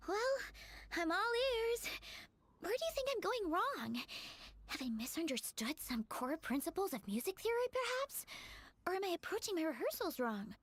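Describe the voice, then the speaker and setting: A woman's voice: very high voice, very animated, normal speed, fairly bright tone, no room sound, full character voice. A woman voicing an earnest, polite, bookish young aspiring bard in a video game. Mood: eager, inquiring.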